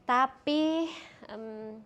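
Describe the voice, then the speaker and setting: A woman's voice: high voice, drawn-out words, a bit bright, muffled recording. A young woman with a nasal, congested voice from a cold, chatting casually to viewers while filming a hotel room tour on a handheld phone. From Indonesia. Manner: chatty, talking to viewers, pointing things out. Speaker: vlogger. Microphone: handheld phone camera.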